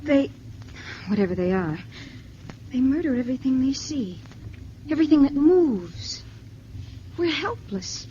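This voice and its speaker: A woman's voice: high voice, animated, unhurried, long pauses, dark tone, lo-fi sound, a little hiss or hum. An actress playing a tender, frightened young woman fleeing invaders in a 1950s radio drama, on an old broadcast recording. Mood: fearful, lost.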